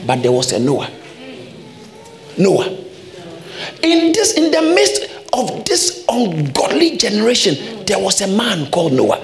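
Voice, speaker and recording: A man's voice: high-pitched, huge pitch swings, unhurried, long pauses, roomy sound. A man from Ghana, preaching a sermon as pastor, emphatic and fond of repeating his phrases.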